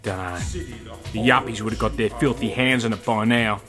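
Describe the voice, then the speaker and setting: An actor with an Australian accent playing a scruffy, restless drifter in a radio play, cagey and excitable. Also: male voice, fairly low pitch, moderate pace, over music, natural voice. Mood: cagey, wry. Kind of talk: radio drama acting.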